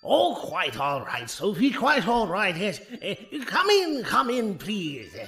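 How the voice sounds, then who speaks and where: An actor playing an eccentric, fussy and genial older professor who dithers and repeats himself in an audio drama. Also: male voice, sits high, huge pitch swings, slow pace, sharp tone, heavily acted. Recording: music under the voice.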